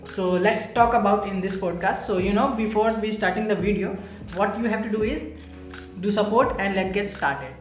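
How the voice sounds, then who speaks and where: A man's voice: high voice, steady tone, normal speed, roomy sound, over music. A man from India hosting a casual podcast interview, chatty and full of "so" and "you know". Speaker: podcast host.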